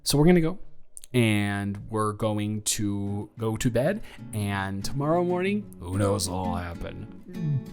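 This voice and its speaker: A young man playing an anxious, flustered student with a crush in an intimate, conversational audio roleplay, talking in an awkward, halting, rambling way. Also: on the low side, very animated, faint music behind, exaggerated voice. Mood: nervous, flustered.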